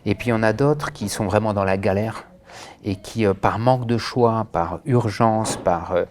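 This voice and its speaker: A man's voice: fairly low pitch, moderate pace. A man born in France and raised in Senegal, answering interview questions earnestly, with many hesitations and restarts. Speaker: director of a Senegalese association.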